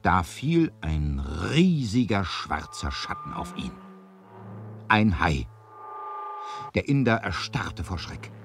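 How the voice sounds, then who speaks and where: A man narrating a 1970s adventure radio play in a theatrical, deliberate storytelling style. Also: sits low, sing-song, vocal fry, faint music behind.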